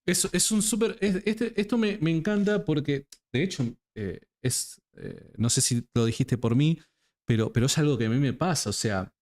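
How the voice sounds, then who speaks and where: A man's voice: fairly high pitch, expressive, moderate pace, darkish tone. A man with an Argentine accent, hosting a casual interview in a colloquial, affectionate way and repeating words for emphasis. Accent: Argentine (Rioplatense) Spanish. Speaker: interviewer, host.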